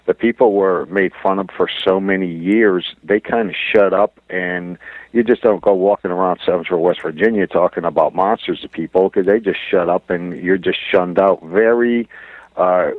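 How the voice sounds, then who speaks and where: A man's voice: deep voice, moderate pace, no room sound. A man as an interview guest on a phone call, explaining his research in a casual, folksy way. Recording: thin, narrow phone-like line.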